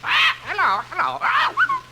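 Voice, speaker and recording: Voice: extremely high pitch, huge pitch swings, measured pace, exaggerated voice. An actor voicing a chirpy, squawking pet parrot that repeats its phrases in a vintage radio comedy. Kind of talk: 1950s radio comedy acting. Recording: noisy vintage radio recording.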